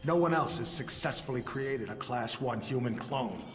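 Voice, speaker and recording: An actor playing an embittered, proud older intelligence chief in a video game cutscene, speaking with deliberate, sardonic authority. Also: male voice, medium pitch, faint music behind, lightly acted. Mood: grave, controlled.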